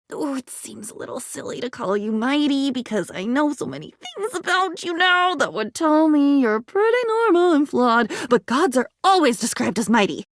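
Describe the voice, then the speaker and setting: A newly self-aware object come to life in a video game, speaks in a high, very animated voice. She is naive and devoted, with an earnest, quaintly formal delivery. The sound is close and dry. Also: no room sound. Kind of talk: video game character acting.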